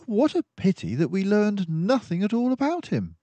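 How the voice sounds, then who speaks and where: A man's voice: sits high, wildly swooping pitch, normal speed, dark tone, no room sound, pitched above the performer's own voice, heavily acted. A man voicing an earnest, genteel mouse companion to a detective in a storybook, puzzled and admiring.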